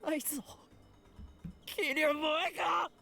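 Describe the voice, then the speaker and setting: A man's voice: extremely high pitch, steady tone, normal speed, vocal fry, pushed and bright, faint music behind, full character voice. An actor playing a defiant, desperate young man in a Japanese visual novel. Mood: angry, defiant.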